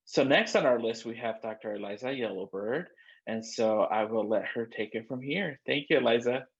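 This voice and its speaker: A man with an average-pitched voice hosts an online event. The audio is dull and a little muffled, as on an internet call. He talks informally and supportively. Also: normal speed, gravelly, slightly rough. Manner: supportive, conversational. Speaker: host, student center staff. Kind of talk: informal hosting of a virtual event.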